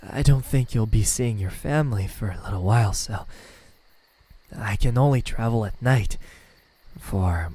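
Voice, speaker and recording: A man plays a tender, lonely male demon in a romantic ASMR roleplay. His voice is low and very breathy, sinking toward a whisper. He speaks slowly and haltingly, with stammers and self-doubt. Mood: gentle, protective. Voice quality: breathy, soft, half-whispered.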